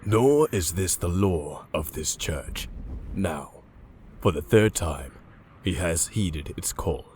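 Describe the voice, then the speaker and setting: Man as deep, solemn comic-book narrator. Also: expressive, slow, darkish tone, a little hiss or hum. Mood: solemn, ominous. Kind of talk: scripted dramatic narration.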